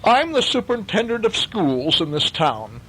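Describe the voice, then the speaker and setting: Man playing a harried superintendent, pitched up and animated. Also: moderate pace, background noise. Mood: worried. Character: harried gloomy male school superintendent.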